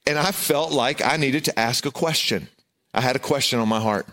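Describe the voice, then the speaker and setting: A middle-aged man with a loud voice, preaching a sermon and telling a self-deprecating, humorous personal story. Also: medium pitch, normal speed. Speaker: pastor.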